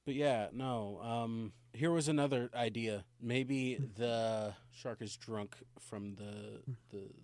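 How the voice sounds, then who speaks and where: A man's voice: medium pitch, a little mellow, dry recording. A man co-hosting a podcast, joking and swearing casually with friends. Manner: jokey, swears, quick asides. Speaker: podcast co-host. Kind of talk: casual chat.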